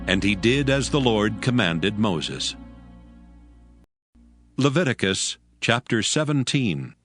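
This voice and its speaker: A man's voice: fairly low pitch, big pitch swings, takes it slowly, a bit bright, soft background music. A man narrating scripture in a solemn, formal manner. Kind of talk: dramatized audio Bible narration.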